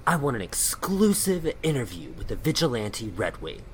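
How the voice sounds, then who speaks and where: An actor playing a mercenary blogger who teases and drives a hard bargain, with a smug manner. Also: male voice, mid-range voice, big pitch swings, normal speed, breathy edge. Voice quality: smug, teasing.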